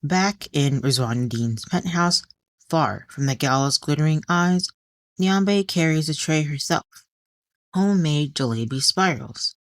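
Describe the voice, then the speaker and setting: A woman's voice: deep voice, no room sound. A woman reading a scripted fiction story as a dramatic audiobook narrator.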